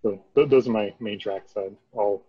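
A man's voice: lowish voice, moderate pace, husky, dry recording. A man from New Jersey talking on a video call in a laid-back, meandering way. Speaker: illustrator and designer. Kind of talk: casual chat. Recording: dull lo-fi call audio.

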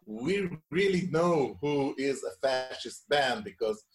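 A man in his forties from Israel, a band member, talking in a casual interview, halting and repeating his words. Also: mid-range voice, expressive, faint music behind. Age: 40s.

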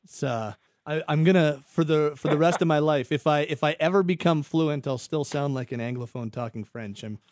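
A man's voice: a little high, moderate pace, darkish tone, dry recording, studio quality. A male radio host chatting easily, with hesitant starts and restarts. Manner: easygoing, hesitant, stumbling restarts. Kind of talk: casual radio chat.

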